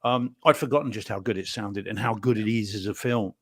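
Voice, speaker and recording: A man co-hosting a casual podcast, his voice sitting on the lower side. He talks in easygoing, hesitant runs with plenty of ums, and the sound is dry and close to the mic. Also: a little fast, a bit bright, no room sound. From New Zealand. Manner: chatty, hesitant with many ums. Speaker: podcast co-host. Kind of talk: casual chat.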